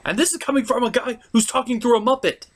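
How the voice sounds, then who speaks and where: A man's voice: extremely high pitch, fast, a bit bright. A man giving a scripted comedic review as host, with an exasperated, sweary, ranting delivery. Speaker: puppet-show reviewer and host.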